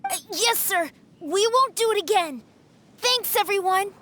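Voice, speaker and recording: A woman's voice: very high-pitched, animated, measured pace, background noise. A woman voicing an earnest, polite young child with a childlike voice in a video game. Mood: sheepish.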